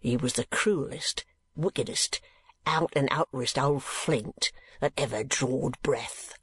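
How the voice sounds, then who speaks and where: A sulky working-class keeper, as a woman reader voices him, low and husky, drawling his blunt words slowly. The recording is close and dry. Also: no echo, heavily acted. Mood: gruff.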